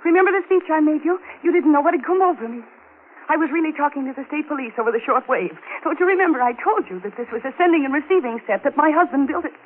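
An actress playing a frightened but resolute housewife in 1940s radio drama style, earnest and anxious. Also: female voice, high-pitched, expressive, fast. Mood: relieved, resolute. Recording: noisy, tinny old-time radio recording.

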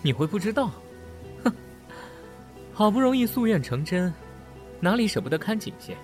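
A man's voice: high voice, big pitch swings, moderate pace, faint music behind. A man playing a jealous, bitter young rival who needles and mocks in an audio drama. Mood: mocking, bitter. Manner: mocking, insinuating, needling. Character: jealous, sardonic young male rival, smooth-mannered but bitter.

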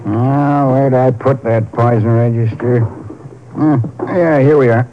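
An actor playing a chatty small-town druggist, muttering folksily in a 1950s radio drama. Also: male voice, mid-range voice, fairly even intonation, measured pace, soft background music, close to an everyday voice. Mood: folksy, pleased. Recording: dull, noisy old radio recording.

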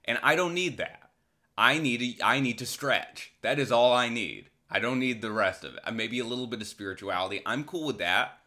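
American male podcast host, bright punchy tone, sardonic and rambling, casual comic delivery. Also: medium pitch, lively intonation, moderate pace. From Massachusetts, United States. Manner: rambling, irreverent, crude, sardonic. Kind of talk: casual comedic monologue.

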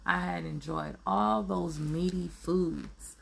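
Slow, gravelly, hoarse woman. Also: fairly low pitch, a bit bright, slight background noise. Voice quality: raspy. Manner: earnest, self-affirming, searching for words with many ums. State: hoarse from allergies. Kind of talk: reflective solo monologue.

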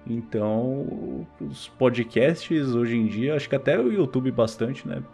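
A man's voice: mid-range voice, animated, unhurried, creaky, warm tone, soft background music. A Brazilian man hosting a podcast, chatting casually in informal, slangy speech. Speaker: podcast host. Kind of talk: casual chat.

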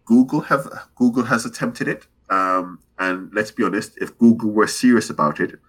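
A man's voice: lowish voice, normal speed, fairly bright tone. A man co-hosting a casual gaming podcast, rambling and analytical as he works through his points with many restarts. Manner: rambling, self-correcting, analytical. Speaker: podcast co-host.

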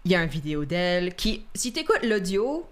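Une femme qui coanime une discussion décontractée en direct, qui rit souvent et mélange des mots anglais à son français. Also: female voice, lowish voice, very animated, fairly quick. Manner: laughs often, mixes English words into French. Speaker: co-host. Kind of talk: casual chat on a live stream.

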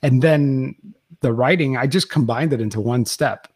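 A man's voice: medium pitch, normal speed, darkish tone, no room sound. A man, a podcast producer, chatting enthusiastically as an interview guest, laughing often and restarting his sentences. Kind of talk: casual interview chat.

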